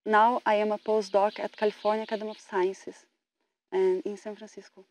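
A woman's voice: medium pitch, little pitch movement, a little fast, a little mellow, rough recording. A woman from Brazil, a Portuguese speaker and entomologist, speaking hesitantly in a documentary interview. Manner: hesitant, plain phrasing.

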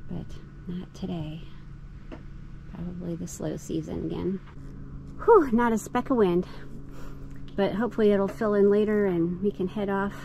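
A woman narrating a casual travel vlog from a sailboat deck, chatty and excitable. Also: medium pitch, vocal fry, low-quality recording. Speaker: sailing vlogger. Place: outdoors on a sailboat deck at sea. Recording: loud wind and boat noise.

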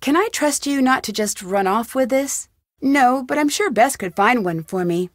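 A woman's voice: high voice, lively intonation, normal speed, no room sound, clean studio sound. A woman voicing an inquisitive, friendly young amateur sleuth in a video game, speaking in a questioning, conversational way. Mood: probing. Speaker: game protagonist. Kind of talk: video game dialogue lines.

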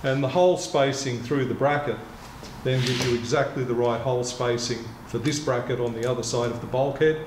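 Echoey older man explaining gear methodically. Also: mid-range voice. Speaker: kayak retailer presenting a product. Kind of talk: explanatory product demonstration. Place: indoor kayak shop or workshop. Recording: echoey room with clear background noise.

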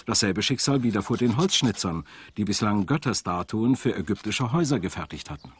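A man's voice: on the low side, lively intonation, fairly quick, slight background noise. A man reading scripted documentary narration in German voice-over style. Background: background music.

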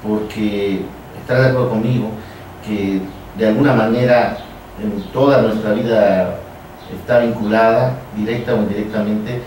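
A man with a Mexican accent hosting a casual radio conversation, explaining things in a halting way and repeating his phrases. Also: lowish voice, fairly even intonation, darkish tone. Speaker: radio show host. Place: small radio studio, indoors. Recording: echoey room, distant, background noise.

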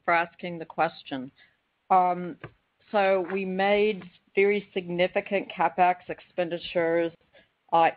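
A woman finance executive giving a careful, slightly halting formal explanation on an earnings call. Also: low voice. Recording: dull band-limited conference call.

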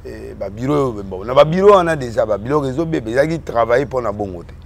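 Homme originaire du Congo qui parle en mélangeant le français et le lingala, sur un ton emphatique, en répétant ses mots dans une discussion politique. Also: male voice, mid-range voice, animated, quick pace, gravelly. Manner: mixes French and Lingala, emphatic, repeats words. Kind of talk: animated political chat.

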